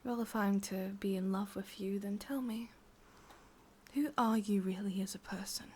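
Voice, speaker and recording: A woman with an English accent voicing a possessive, sadistic witch in a slow, breathy ASMR roleplay whisper. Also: medium pitch, steady tone, warm tone, a little hiss or hum, natural voice. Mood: coaxing, controlling. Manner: slow, intimate, teasing. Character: sadistic, possessive witch, softly menacing and doting.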